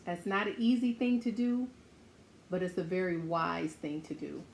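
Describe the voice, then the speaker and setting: A woman's voice: on the low side, normal speed, gravelly. Encouraging woman reading to children, noisy background. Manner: encouraging, speaking simply and directly to children. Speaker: children's story-time host reading a picture book aloud. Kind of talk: read-aloud with encouraging asides to children.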